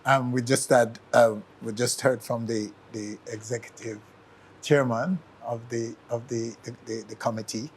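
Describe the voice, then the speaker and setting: A man's voice: mid-range voice, fairly even intonation, normal speed, slight background noise. A man hosting a talk show, questioning his guest and pushing back on his points. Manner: probing, pushes back with questions. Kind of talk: interviewing a guest.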